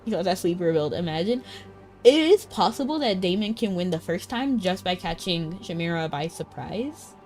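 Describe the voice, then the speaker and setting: A woman's voice: on the low side, lively intonation, moderate pace, darkish tone. A woman streamer giving casual, playful running commentary on a game story in a chatty, slangy way. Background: faint game music.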